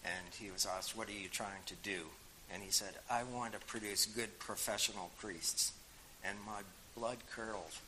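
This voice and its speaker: An older man with a Boston accent talks frankly and with dry wit, filling pauses with "uh" and "you know." His voice is bright and forceful. A faint hiss sits under the recording. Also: on the low side, fairly even intonation, rough recording. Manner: frank, wry, many fillers. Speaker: guest speaker answering audience questions. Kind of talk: casual spoken reply.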